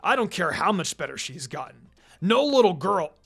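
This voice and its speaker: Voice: mid-range voice, very animated, moderate pace, fairly bright tone, slightly rough, faint music behind, exaggerated voice. A reader voicing a brash, easily cowed teenage boy ninja in a story reading. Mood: cocky scoffing. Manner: cocky, stammering when frightened.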